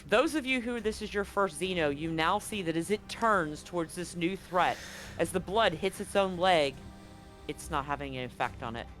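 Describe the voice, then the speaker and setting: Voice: medium pitch, expressive, normal speed, fairly bright tone, soft background music. A game master narrating a live-streamed tabletop roleplaying game in an informal way, with casual swearing.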